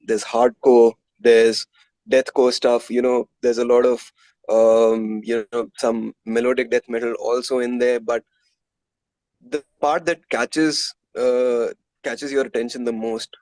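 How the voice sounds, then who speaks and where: A man's voice: medium pitch, level tone, a little slow, a little mellow, dry recording, rough recording. A man with an Indian accent answering interview questions as a musician, candid and conversational, often repeating words as he thinks. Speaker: musician guest, guitarist and composer in a metal band.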